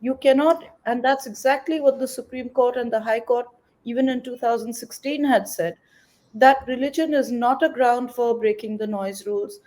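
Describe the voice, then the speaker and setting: A mature woman activist gives considered, articulate answers in an interview. Her voice is mellow and soft-edged, pitched a little high, as she explains things point by point. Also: moderate pace. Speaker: environmental activist, interview guest.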